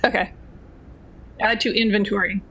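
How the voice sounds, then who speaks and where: A woman's voice: mid-range voice, fairly even intonation, normal speed, a bit bright, husky. Female game player, mid-range voice, conversational, thinking aloud. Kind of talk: casual game talk.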